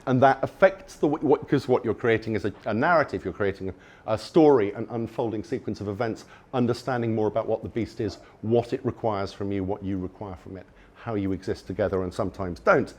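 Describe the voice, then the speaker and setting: A man's voice: medium pitch, darkish tone. A man giving a conference talk to an audience, enthusiastic and wry, rambling with frequent restarts. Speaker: conference speaker. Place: conference venue before an audience.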